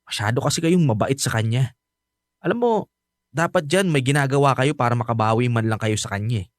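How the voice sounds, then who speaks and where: A man's voice: medium pitch, fairly quick, no room sound, clean studio sound, close to an everyday voice. An actor playing a bitter, resentful young adult son, protective of his mother, speaking with heated emphasis in a radio drama.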